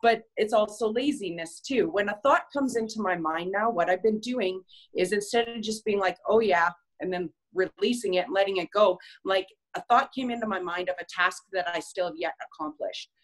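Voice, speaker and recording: A woman with a medium-pitched voice shares personal reflections in a group session. She speaks candidly and conversationally, in a casual motivational style, thinking aloud about herself. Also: normal speed, low-quality recording.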